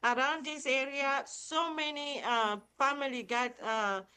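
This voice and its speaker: A woman's voice: sits high, steady tone, measured pace, bright, forceful tone. A middle-aged woman with a Cameroonian accent, speaking enthusiastically as a charity founder in a live interview. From Cameroon, Central Africa. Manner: enthusiastic, upbeat. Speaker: nonprofit founder and CEO, interview guest.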